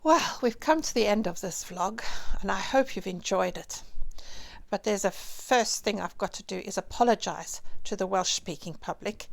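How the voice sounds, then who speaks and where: A woman's voice: mid-range voice, normal speed, gravelly. A woman closing her vlog in a friendly way, apologizing for her pronunciation and thanking viewers. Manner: friendly and apologetic, with light laughs. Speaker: vlog host. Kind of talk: vlog sign-off.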